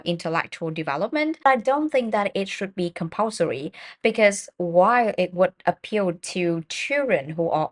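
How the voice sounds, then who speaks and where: Woman reading sample speaking answers. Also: fairly low pitch. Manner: announces each question, then reads sample answers in a steady, explanatory way; occasional fillers and small restarts. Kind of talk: reading model exam answers aloud.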